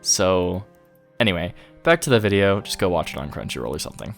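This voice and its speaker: A man's voice: deep voice, very animated, a little fast, gravelly, a bit bright, soft background music. A man presenting a video essay as the host, speaking to viewers in a review style. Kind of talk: scripted review narration with occasional casual asides.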